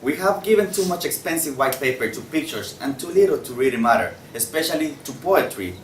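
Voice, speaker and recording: A man's voice: on the high side, animated, fairly quick, natural voice. Argumentative magazine writer in an echoey room man acting. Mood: argumentative, wry. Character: argumentative male magazine writer defending poetry. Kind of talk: student table read of a stage play. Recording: distant echoey room, faint noise.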